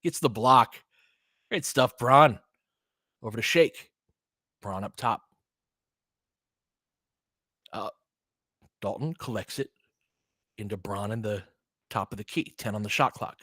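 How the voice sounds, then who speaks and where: A man's voice: on the low side, unhurried, pauses often, bright, forceful tone, husky, dry recording, studio quality. A man doing casual live basketball play-by-play in short clipped phrases, with informal slang and a fan's partisan reactions. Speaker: play-by-play commentator.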